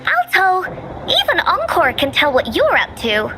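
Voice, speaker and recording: A woman's voice: very high-pitched, huge pitch swings, unhurried, pushed and bright, soft background music, heavily acted, rough recording. A woman voicing a perky, cheeky little girl adventurer in an anime game, childlike and teasing. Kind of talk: anime game character acting.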